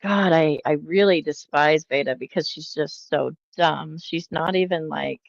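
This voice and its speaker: A woman's voice: sits low, a little slow, vocal fry, no echo, lo-fi sound. A woman hosting casual reaction commentary, blunt and mocking, often trailing off with fillers. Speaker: commentary host reacting to clips.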